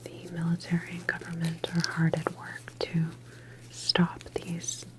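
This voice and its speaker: A woman whispers slowly through an ASMR roleplay as a calm doctor. Her delivery is deliberate and clinical, reassuring the patient as she explains each step. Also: lowish voice. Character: calm female government doctor examining a patient.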